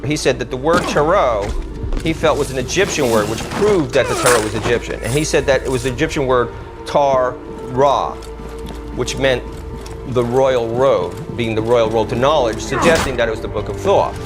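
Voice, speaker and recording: A man gives an explanatory documentary interview. His voice is of average pitch and conversational, with music playing clearly behind it. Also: moderate pace.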